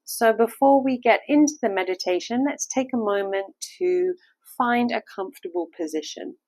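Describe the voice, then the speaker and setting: A woman's voice: on the high side, big pitch swings, creaky, a little mellow, no echo. Woman guiding a meditation, close and dry. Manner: soothing, unhurried instructing. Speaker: meditation guide. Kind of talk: guided meditation, gentle step-by-step instruction.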